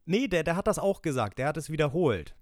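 Animated man quizzing his co-host. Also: on the high side, quick pace, no echo, studio quality. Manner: lively, laughing, reads quotes aloud. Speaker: podcast co-host running a quiz. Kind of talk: casual podcast chat.

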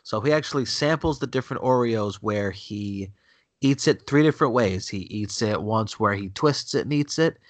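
Man, stuffy with a cold, low and dry; blunt, opinionated co-host. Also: normal speed, dry recording. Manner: blunt, opinionated, repeats himself. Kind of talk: casual chat. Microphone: remote call connection.

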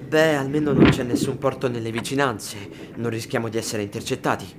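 A man's voice: mid-range voice, fairly quick, very noisy, natural voice. Man playing a meek young deckhand. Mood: deferential. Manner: hesitant, deferential. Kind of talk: fantasy audio drama acting.

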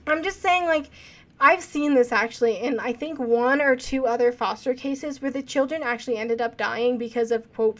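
Young woman podcast host, kinda high voice, earnest, reading report quotes then chatting. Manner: earnest, questioning, reads quotes aloud. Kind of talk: casual true-crime podcast chat, reading from reports.

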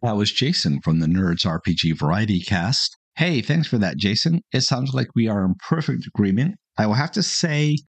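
A man with a fairly low voice hosts a podcast in a casual, conversational way. He offers his views directly as he answers callers. The recording is very dry. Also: sing-song, moderate pace, hardly any pauses, slightly rough, no echo. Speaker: podcast host. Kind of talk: casual podcast talk responding to listener calls.

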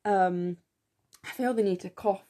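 A young woman co-hosting a podcast in casual chat, enthusiastic and full of fillers, her voice slightly croaky, recorded on a phone in her room. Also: mid-range voice, unhurried, mellow. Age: young adult. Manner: chatty, enthusiastic, many fillers. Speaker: podcast co-host.

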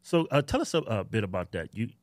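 A man's voice: lowish voice, big pitch swings, fast talker, no echo. A man co-hosting a casual podcast, joking and swearing freely as he reads ads and questions his guest. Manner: joking, profane, plain-spoken. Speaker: podcast co-host.

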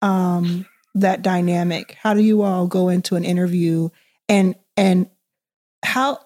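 Brief: woman podcast host, mellow tone, chatty, easygoing questions. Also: lowish voice, steady tone, unhurried. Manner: chatty, laughing, loose phrasing. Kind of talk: casual interview hosting.

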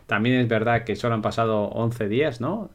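A man's voice: medium pitch, fairly quick, slight background noise. Easygoing Spanish male podcast host. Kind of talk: casual livestream podcast.

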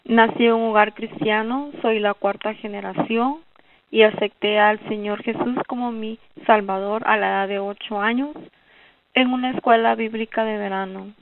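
A woman's voice: a little high, steady tone, normal speed, no room sound. Guatemalan woman, sincere listener testimony, phone-quality sound from a distance. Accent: Guatemalan Spanish. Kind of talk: recorded personal testimony. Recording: tinny phone-like audio from far off.

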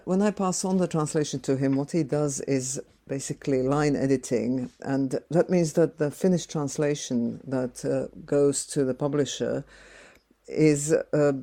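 Interview guest: woman, 60s, native Slovak speaker, mellow timbre, unhurried and reflective. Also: extremely low pitch. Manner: thoughtful, with frequent pauses and filler "uh"s. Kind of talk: conversational interview answers.

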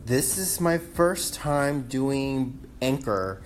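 Slow, hesitant man with a Taiwanese accent. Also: medium pitch, expressive. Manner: hesitant, many ums, self-conscious. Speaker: podcast host. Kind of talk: casual solo podcast talk. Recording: faint background noise.

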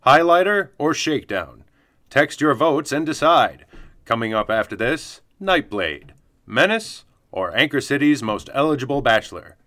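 A self-important local news anchor, acted by a man, with a bright, punchy voice at middling pitch. His delivery is polished, emphatic and pompous, in a parody broadcast style. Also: sing-song, moderate pace. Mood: judging, pompous.

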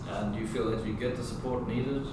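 Man, podcast host, measured interviewer, noisy background. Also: medium pitch, fairly even intonation. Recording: echoey room, distant mic, noisy.

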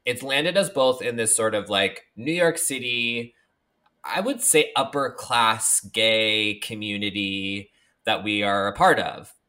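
Bright-voiced chatty podcast man. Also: on the low side, slow. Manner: chatty, filler-heavy, playful. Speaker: podcast co-host. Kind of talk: casual chat.

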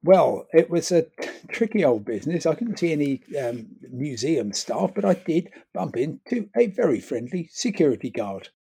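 London guy telling a travel story, wry and hesitant, dark husky voice, dry sound. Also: on the high side, sing-song, normal speed, few pauses. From London, England. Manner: wry, self-deprecating, hesitant with ums. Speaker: travel reporter. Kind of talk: conversational travel report.

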